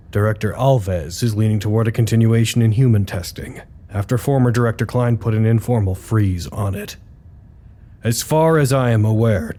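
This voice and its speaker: An actor playing a male research site doctor who gives formal, measured official reports in an audio drama. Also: medium pitch, mellow, natural voice. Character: weary male doctor, foundation communications head, dutiful and halting.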